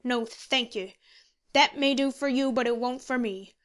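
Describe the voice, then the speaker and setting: A woman voicing a scheming, impatient old male pensioner who bullies in forceful, rustic speech for an audiobook story. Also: on the high side, steady tone, moderate pace, natural voice. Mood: scornful.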